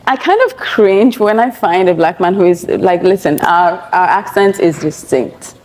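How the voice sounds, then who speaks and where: A woman from Nigeria chatting in a lively, opinionated way and laughing often. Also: lowish voice, moderate pace, a little hiss or hum. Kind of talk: casual chat.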